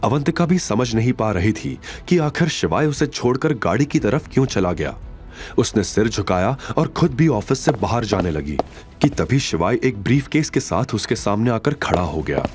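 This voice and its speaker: A man's voice: medium pitch, big pitch swings, moderate pace, darkish tone. A man narrating a scripted audio drama in a dramatic storytelling style. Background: background music.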